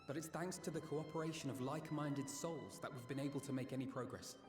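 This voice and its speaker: A man voicing an earnest, courteous young diplomat in a video game, with formal, eloquent, measured delivery. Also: a little high, close to an everyday voice. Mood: courteous, hopeful. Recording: echoey, long reverb, music behind.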